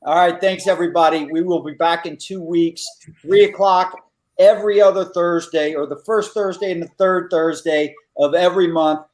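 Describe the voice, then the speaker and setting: A man's voice: high-pitched, steady tone, normal speed, darkish tone. Rambling male panel co-host. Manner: rambling, restarts often. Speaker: show co-host. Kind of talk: casual panel chat.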